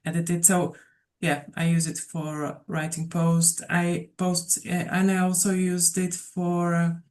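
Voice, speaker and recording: A woman's voice: lowish voice, monotone, slow, a little mellow. A woman teacher sharing how she works in a casual online discussion, friendly and a little hesitant. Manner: friendly, hesitant, with fillers and repeats. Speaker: mindfulness and English teacher.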